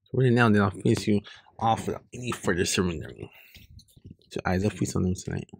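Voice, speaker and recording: Man reading as stern, husky female exorcist. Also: fairly low pitch, expressive, a little fast, no echo, full character voice. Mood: commanding. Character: stern, haughty young female exorcist battling demons. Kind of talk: webcomic read-aloud.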